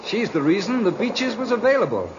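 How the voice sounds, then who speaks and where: A man's voice: high-pitched, big pitch swings, fairly quick. An actor in a 1950s radio drama playing a curt, detached married man with menace toward his lover. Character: cold, married male lover turning menacing. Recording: muffled, noisy vintage radio recording.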